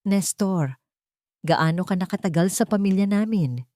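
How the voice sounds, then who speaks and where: A woman's voice: mid-range voice, measured pace, warm tone, dry recording, clean studio sound, close to an everyday voice. A woman playing a stern, wealthy male boss who interrogates in a controlled, accusing way in an audio drama. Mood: cold, commanding.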